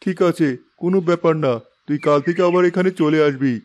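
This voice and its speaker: Guy voicing a kindly village shopkeeper in a cartoon, slightly high voice, matter-of-fact, bit of noise and birdsong. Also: fast, a little mellow, lightly acted. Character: plain-spoken, kindly male village shopkeeper. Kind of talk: Bengali cartoon moral story dialogue.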